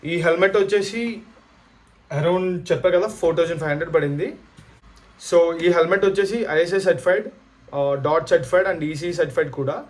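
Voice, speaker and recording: A man from India with a Telugu accent, talking casually to viewers as a vlogger and often mixing in English phrases. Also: on the high side. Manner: code-switches often between Telugu and English. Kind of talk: casual product review and storytelling to viewers.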